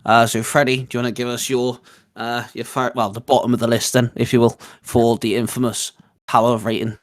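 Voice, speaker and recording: A man speaks as the host of a gaming video, in a casual commentary style. His pitch sits in an ordinary middle range for a man, and he talks conversationally. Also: flat delivery, a little fast. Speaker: host of a video game ranking show.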